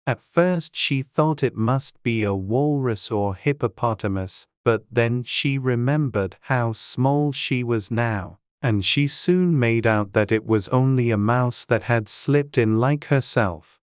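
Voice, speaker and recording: A woman's voice: rumbling low, takes it slowly, hardly any pauses, smooth, no echo, studio quality. A woman reading scripted storybook narration as an audiobook narrator.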